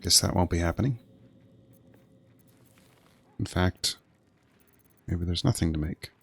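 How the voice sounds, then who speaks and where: A man's voice: sits low, slow pace, vocal fry, fairly bright tone, slightly rough. A man doing casual video game commentary as a streamer, muttering unhurriedly as he thinks aloud.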